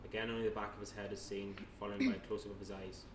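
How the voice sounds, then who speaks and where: A man narrates a screenplay at a table read in a plain, matter-of-fact way. His voice is lowish and very even in pitch. It is picked up from far off in a noisy, echoey room. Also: rapid-fire. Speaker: narrator reading stage directions at a table read. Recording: echoey room, distant mic, noisy background.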